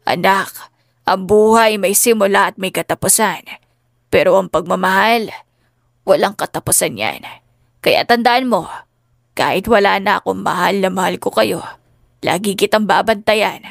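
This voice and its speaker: A woman voicing an ailing, gentle old father and grandfather in a tender, solemn way, in an audiobook drama. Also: mid-range voice, normal speed, vocal fry, long pauses, no echo, studio quality.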